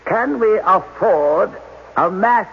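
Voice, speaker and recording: An actor playing an authoritative, evasive general in 1980s radio drama, speaking in a measured, formal, commanding way. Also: male voice, high-pitched, animated, creaky, full character voice. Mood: grave, evasive. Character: authoritative alien army general, diplomatic, evasive, weary of questions. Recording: dull, noisy old radio broadcast.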